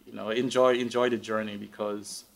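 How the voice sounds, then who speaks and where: Male interview guest, Guyanese accent, deeper register, unhurried thinking-aloud delivery, conversational. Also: flat delivery, gravelly. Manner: hesitant, reflective, thinking aloud. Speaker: interview guest, tech professional. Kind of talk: casual live conversation.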